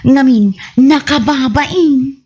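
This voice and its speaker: An actress with an Ilocano accent playing a sheepish, earnest village gossip and wife in a radio comedy, plaintive and insistent. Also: female voice, high-pitched, slow pace, a little mellow, dull audio.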